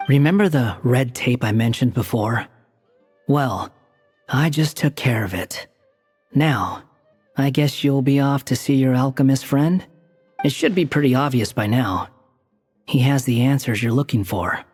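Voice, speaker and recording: Sly deep-voiced lady, husky and dry, teasing, long echo on it. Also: unhurried, darkish tone, exaggerated voice. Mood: wry. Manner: dry, teasing, matter-of-fact. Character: sly, self-assured woman, wry and knowing. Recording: game dialogue with long reverb and music.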